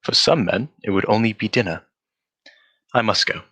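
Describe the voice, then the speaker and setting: A man acting a cerebral, restrained gentleman detective in a radio drama, speaking formally and courteously. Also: fairly low pitch, moderate pace, husky, no room sound, lo-fi sound. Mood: reserved, wistful. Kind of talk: adaptation of a 1940s radio drama.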